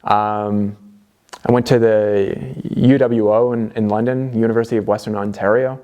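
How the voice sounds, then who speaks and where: A man's voice: on the low side, fairly even intonation, moderate pace, dark tone, muffled recording. A young Canadian man with a Canadian accent, talking earnestly to camera in a conversational motivational vlog, with plenty of fillers and restarts. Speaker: DIY vlogger.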